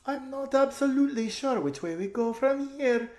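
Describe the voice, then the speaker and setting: A man doing a silly, high-pitched gushing young noblewoman. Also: animated, normal speed, darkish tone, echoey room. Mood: giggly, unsure. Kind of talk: children's storybook character voice.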